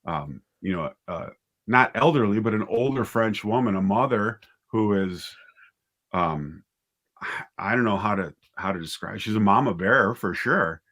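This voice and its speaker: Male interview guest, writer-director type. Lowish pitch, casual, thinks aloud with some hesitancy. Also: animated, measured pace, a bit bright.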